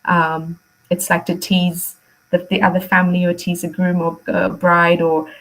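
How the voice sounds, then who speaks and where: A woman's voice: on the low side, level tone, moderate pace, a bit bright, rough recording. A woman from Malaysia whose first language is Malay, chatting casually as a teacher being interviewed, in a talkative, emphatic way. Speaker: primary school teacher, interview guest.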